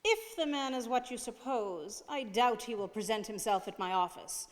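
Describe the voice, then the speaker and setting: A woman's voice: on the high side, lively intonation, normal speed, close to an everyday voice. A woman playing a formal, firm but hesitant lady consul in an old-time radio drama. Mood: firm, doubtful. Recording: long hall reverb on stage voices.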